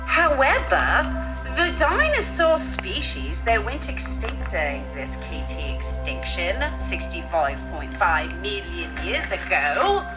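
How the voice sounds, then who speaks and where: A woman's voice: mid-range voice, sing-song, takes it slowly, over music, low-quality recording. A woman presenting a playful mock documentary in a theatrical, exclaiming manner. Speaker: presenter of a student educational newsreel.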